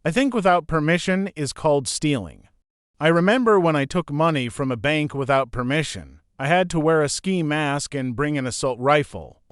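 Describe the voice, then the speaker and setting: A man narrates a string of forum posts in a steady, even read. His voice is smooth and a little higher than most men's, and the recording is dry and close, with no room sound. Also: moderate pace, no room sound. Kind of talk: scripted narration.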